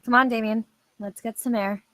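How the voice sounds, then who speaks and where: A woman playing a steady, worried police officer and loyal friend in a screenplay table read. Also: on the high side, normal speed, dry recording. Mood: gently urging.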